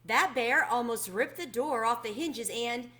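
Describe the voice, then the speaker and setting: A woman's voice: fairly high pitch, a little slow, pushed and bright, pitched above the performer's own voice. A woman voicing an earnest young girl in a storybook, insistent and easily indignant.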